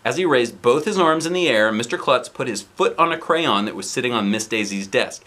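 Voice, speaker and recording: Animated, bright-voiced man reading to children. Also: mid-range voice, a little fast. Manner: expressive, playful, exaggerated delivery. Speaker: children's book reader. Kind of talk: animated read-aloud of a children's story, with asides to young viewers. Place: indoors, seated on a couch.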